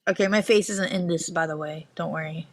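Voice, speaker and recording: A woman's voice: on the low side, a little fast. A young woman chatting as a guest on a video call, shy and nervous, hesitating with many fillers. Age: young adult. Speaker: chat guest. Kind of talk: casual video chat.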